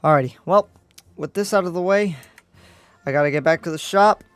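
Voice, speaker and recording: A man's voice: fairly high pitch, fairly quick, clear, resonant tone, dry recording, faint music behind, close to an everyday voice. A man playing an easygoing young demon slayer in an improvised anime game roleplay, talking casually and hesitantly. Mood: casual, muttering.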